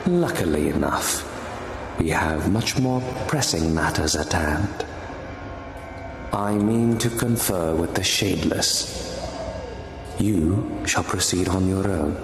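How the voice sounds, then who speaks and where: A stern, imperious superior in a dark fantasy game. His voice is deep and very measured, speaking formally with slow weight. It rings in a roomy echo with music underneath. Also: animated, creaky, exaggerated voice. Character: stern, commanding male superior of a holy order.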